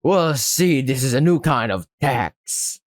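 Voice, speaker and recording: A man's voice: mid-range voice, lively intonation, slow pace, no room sound, studio quality. A man voicing a blustering, menacing barracuda pirate gangster who talks in showy pirate speak with an unsteady accent. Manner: pirate talk with jumping accent and har-har laughs.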